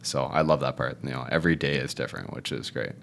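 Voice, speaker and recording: Very deep-voiced young man, laid-back. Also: fast talker, gravelly, slight background noise. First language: Cantonese. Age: 20s. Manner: relaxed, rambling, fond of the tag "if you will, right?". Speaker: podcast guest, realtor. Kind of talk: casual interview chat.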